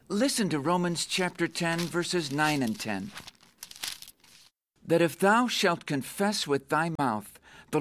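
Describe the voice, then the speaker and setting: Slow-paced earnest young believer. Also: male voice, a little high, fairly bright tone, no echo, full character voice. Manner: solemn, fervent, deliberate. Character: earnest, newly saved young man preaching scripture. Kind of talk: radio drama, scripture recitation.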